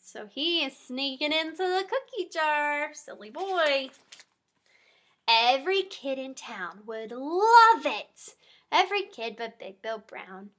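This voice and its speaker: A woman with a high, bright voice reads a storybook to young children. Her delivery is slow and very animated, with an encouraging tone as she speaks directly to her little listeners. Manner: animated, encouraging, asks listeners simple questions. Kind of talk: expressive read-aloud to young children.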